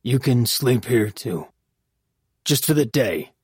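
A gruff, reclusive priest, played by a male audiobook reader, curt, scornful and easily irritated. The voice is kept low-key and sounds very dry and close. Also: measured pace, no room sound. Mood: gruff, grudging. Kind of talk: audiobook character dialogue.

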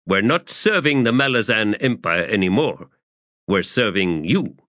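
Male narrator doing a blunt soldier, earnest, rising emphasis, bright tone. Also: on the low side, animated, unhurried, no room sound, studio quality. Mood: challenging.

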